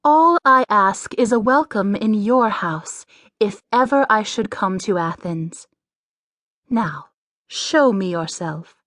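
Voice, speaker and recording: Commanding sorceress, unhurried, formal, gracious, mid-pitched, dry studio sound, audiobook drama. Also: female voice, lively intonation, fairly bright tone, no room sound. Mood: gracious, inviting.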